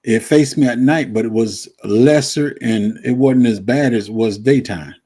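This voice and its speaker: A husky, mid-pitched man in his fifties joins a live stream as a guest. He tells relaxed stories and often repeats his points. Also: normal speed. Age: 50s. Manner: relaxed storytelling, repeats himself. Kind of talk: casual chat.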